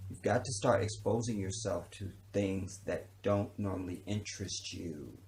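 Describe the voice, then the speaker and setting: A man's voice: low-pitched, normal speed, creaky, a little mellow, slight background noise. A man born in Chicago and raised around the country, giving an emphatic, profane livestream monologue and often checking in with his listeners. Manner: preachy, emphatic, profane, keeps asking "you understand?". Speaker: livestream host.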